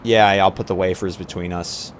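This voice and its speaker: A man's voice: low voice, fairly even intonation, a little fast, a little hiss or hum. A man chatting casually as a player in a tabletop roleplay, laid-back and hesitant as he describes his moves.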